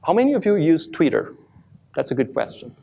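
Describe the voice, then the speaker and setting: A man from Brazil in his thirties with a strong Brazilian accent, giving a lively live demo talk at a conference, with many restarts and self-deprecating asides. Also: on the high side, fairly quick, creaky, highs cut off, a little hiss or hum. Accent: Brazilian Portuguese. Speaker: conference speaker. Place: large conference hall with audience.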